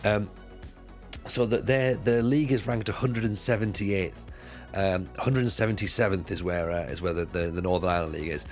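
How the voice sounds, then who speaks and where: A British man with a croaky voice, chatting casually to viewers on a live stream while playing a football management game, rambling and repeating himself. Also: low voice, brisk, faint music behind. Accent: British English.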